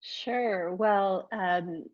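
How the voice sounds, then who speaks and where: A woman's voice: mid-range voice, no room sound. Dry, dull-sounding thoughtful American woman. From Chicago, United States. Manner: measured, thoughtful, pausing to choose words. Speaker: panel guest, artist and educator. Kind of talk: personal reflection in a panel discussion.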